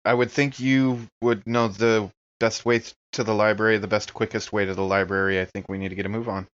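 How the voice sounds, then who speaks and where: A man's voice: lowish voice, level tone, a little fast, fairly bright tone, lo-fi sound. A man playing a tabletop game, talking casually and improvising as his character.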